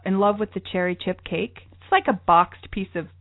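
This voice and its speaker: A woman's voice: mid-range voice, normal speed. A woman co-hosting a travel show, talking in a bubbly, chatty way and laughing often. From lived in New York City. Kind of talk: casual chat. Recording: tinny phone-like line.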